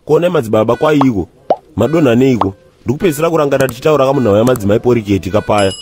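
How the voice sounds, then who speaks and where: A Zimbabwean man playing a bewildered, aggrieved husband and patient who rambles comically in a cartoon, with a husky voice. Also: medium pitch, animated, very fast, over music, natural voice. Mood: aggrieved rambling. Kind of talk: animated comedy dialogue.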